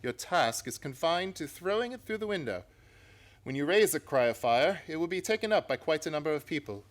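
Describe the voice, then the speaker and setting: A man playing a confident, commanding gentleman detective in a theatrical radio play. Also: on the high side, very animated, fairly quick. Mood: brisk.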